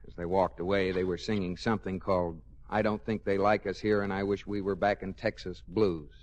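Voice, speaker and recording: An actor playing a mild, sardonic, exasperated husband in a 1940s radio comedy. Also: male voice, low-pitched, flat delivery, brisk, darkish tone, lightly acted. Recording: faintly noisy old radio recording.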